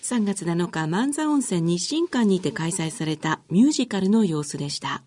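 Female radio host: soft-toned and slightly husky, courteous, formal presenting and interviewing. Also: fairly low pitch, animated, normal speed. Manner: polite, formal.

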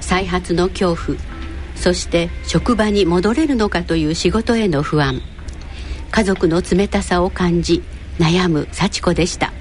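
Woman narrating a radio play, lowish voice, music going underneath. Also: measured pace, a little mellow. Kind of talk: scripted radio drama narration. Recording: clear music behind the voice.

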